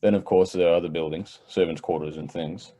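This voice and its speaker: A man's voice: low-pitched, steady tone, brisk, dark tone. An actor playing a nonchalant young rich heir in an old-time radio drama, casually boastful about his family's wealth. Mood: blasé. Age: young adult.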